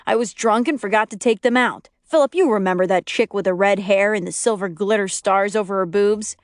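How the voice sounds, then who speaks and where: A woman's voice: medium pitch, brisk, no echo, studio quality, lightly acted. A woman voicing a defensive, exasperated young husband arguing back.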